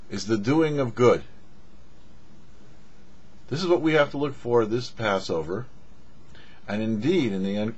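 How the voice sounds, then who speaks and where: A middle-aged man giving a scripted religious teaching to camera as a rabbi, deliberate and preacherly with emphatic pauses. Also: medium pitch, a little mellow, slightly rough. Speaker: rabbi, show host. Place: small indoor studio with cloth backdrop. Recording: dull, muffled lo-fi audio.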